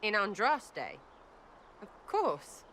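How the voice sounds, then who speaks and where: Brash young game archer, British accent, sharp bright voice, slangy, restless, faint ambience. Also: female voice, medium pitch, huge pitch swings, very slow, slight background noise, full character voice. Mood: uneasy, insistent. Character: brash, irreverent, scrappy young female archer. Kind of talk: video game dialogue acting.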